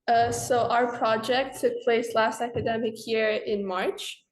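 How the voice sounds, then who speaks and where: A teenage girl from Slovakia and Portugal gives a prepared school project presentation, careful and punctuated with small "uh"s. Her voice sits a little high with a flat, level melody. The room around her has a noticeable echo. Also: a little slow, a little hiss or hum. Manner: careful, with frequent "uh" before phrases. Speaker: student presenter. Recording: roomy echo.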